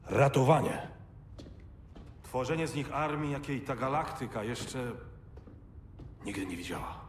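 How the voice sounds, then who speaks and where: A man's voice: medium pitch, a little hiss or hum, lightly acted. A man playing a bitter, menacing military villain out for revenge in a video game, gloating theatrically. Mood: commanding. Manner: slow-burning, gloating, theatrical. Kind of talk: dramatic video game acting.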